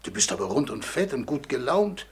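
Raspy, lamenting old God. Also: male voice, mid-range voice, sing-song, brisk. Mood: reproachful, envious. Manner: plaintive, lamenting. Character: weeping, helpless old God nobody believes in. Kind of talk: 1940s German radio play acting. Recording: old 1940s radio recording.

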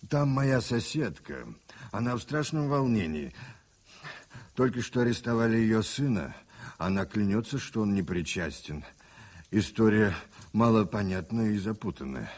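Worldly, husky rogue, swooping theatrically. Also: male voice, fairly low pitch, animated, moderate pace. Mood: polite, concerned. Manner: theatrical, ironic, teasing. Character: worldly, self-mocking male adventurer posing as an actor. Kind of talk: 1980s radio play acting.

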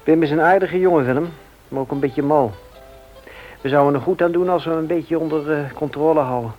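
A man's voice: fairly high pitch, a little fast, dull audio, soft background music, lightly acted. A man playing a hesitant, earnest family friend in a radio play. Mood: curious, concerned.

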